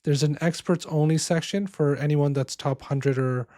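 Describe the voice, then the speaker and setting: A man with a hoarse voice from being sick, giving loose casual commentary on a strategy game and often restarting his phrases. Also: fairly high pitch, steady tone, normal speed, warm tone, no room sound, clean studio sound. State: hoarse, losing voice from illness.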